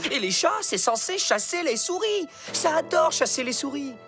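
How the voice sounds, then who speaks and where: A woman's voice: sits high, very animated, moderate pace. A woman voicing an anxious, bossy male cartoon fox in a French accent, insistent and dramatic. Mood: scolding. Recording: loud cartoon music behind.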